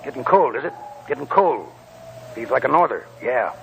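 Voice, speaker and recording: An actor playing a wily, scornful old American gold prospector in a 1940s radio drama, with a raspy, creaky voice and folksy rambling talk. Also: male voice, medium pitch, lively intonation, normal speed, a little hiss or hum, low-quality recording. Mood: teasing. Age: older.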